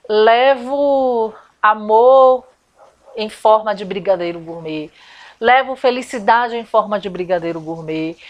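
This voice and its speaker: A woman from Salvador, giving a chatty step-by-step lesson as a confectioner and business coach, often asking rhetorical questions. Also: fairly high pitch. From Salvador, Brazil. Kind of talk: informal instructional video.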